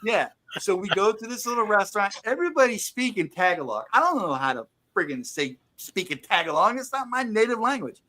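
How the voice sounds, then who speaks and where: Man telling stories, casual slangy chat, bright tone, slightly high voice. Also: sing-song, brisk, hardly any pauses. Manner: storytelling, laughing, slangy. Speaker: podcast co-host.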